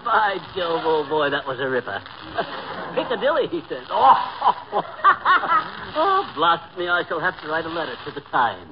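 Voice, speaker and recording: A man playing an excitable, boastful husband with slangy, folksy talk and pompous airs in an old-time radio sitcom. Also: a little high, brisk, natural voice. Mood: pompous mock-British. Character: excitable, corny, boastful husband with big ideas. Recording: muffled, noisy old radio recording.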